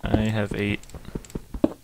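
A young man joking around and laughing often while playing a survival game with teammates over voice chat. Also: takes it slowly. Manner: goofy, jokey, laughs often. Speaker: gamer teammate. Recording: loud background noise with clicks.

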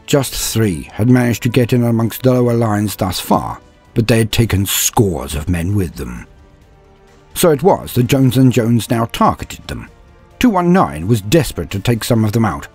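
A man's voice: on the low side, a little mellow, husky. A man narrating scripted fiction and lore in a theatrical, grandiose storyteller manner.

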